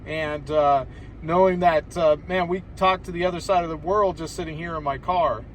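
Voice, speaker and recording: An American man chats casually on ham radio from inside a car. His voice sits a little high. The mic is some way off, with faint noise around him. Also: steady tone, moderate pace, far from the mic. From Southern California, United States. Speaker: amateur radio operator.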